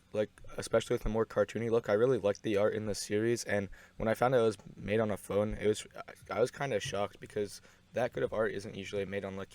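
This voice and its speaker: A man with a fairly low-pitched voice talks through a casual video essay. His delivery is offhand and rambling, with deadpan joking in the way he sums things up. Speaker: video host.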